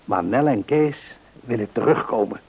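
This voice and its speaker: A man's voice: mid-range voice, animated, normal speed, a little mellow, breathy edge, poor audio. An actor playing an affectionate middle-aged husband and father in a radio play, reassuring and given to repeating short words. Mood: confiding.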